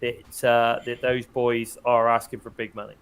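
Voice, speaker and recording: British male podcast co-host, mid-pitched, a bit croaky today, relaxed and chatty. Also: normal speed. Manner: chatty, leads the discussion and hands over to others. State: slightly croaky, hoarse. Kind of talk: casual wrestling podcast chat.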